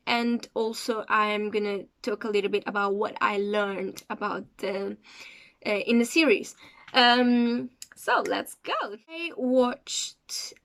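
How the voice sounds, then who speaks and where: Woman, talks slow, stops a lot to find her words, chatty review vlog. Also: a little high. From Cape Verde. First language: Portuguese. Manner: hesitant, searching for words as she goes. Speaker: series reviewer.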